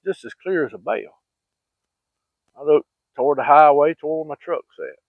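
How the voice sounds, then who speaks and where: A man's voice: a little high, moderate pace, no echo. Folksy man spinning a yarn. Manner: rambling, folksy, many fillers and restarts. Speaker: channel host telling hunting stories. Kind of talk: casual storytelling. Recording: dry, phone-like sound.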